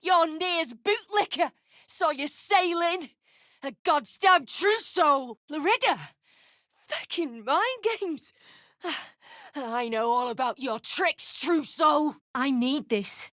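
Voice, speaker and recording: A high-voiced, embittered deep gnome woman for a video game. She talks slowly and bluntly, with a defiant snarl and a sweary edge. The recording is dry and close. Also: animated, fairly bright tone, dry recording, heavily acted. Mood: defiant, angry. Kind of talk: video game character acting.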